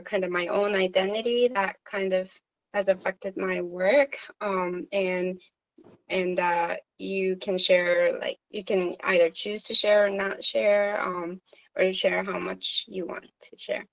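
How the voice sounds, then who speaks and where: Slow, hesitant woman. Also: medium pitch, flat delivery, vocal fry, low-quality recording. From Korea. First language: Korean. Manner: hesitant, many fillers and restarts. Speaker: social worker. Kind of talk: supervision meeting conversation.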